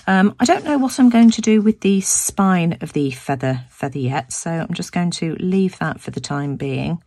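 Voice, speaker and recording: A woman with a croaky voice talking through a craft demonstration at her table, thinking aloud as she works and often repeating words. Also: on the low side, animated, normal speed, darkish tone, no echo. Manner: chatty, thinking aloud with restarts. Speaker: craft video host. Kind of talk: casual craft tutorial narration. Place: home craft room, tabletop.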